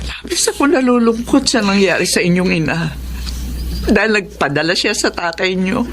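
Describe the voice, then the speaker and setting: A woman's voice: mid-range voice, lively intonation, normal speed, a little hiss or hum, natural voice. An actress playing a worried, devout old grandmother in a radio drama, earnestly pleading and admonishing. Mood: sorrowful, gentle. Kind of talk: Tagalog radio drama acting.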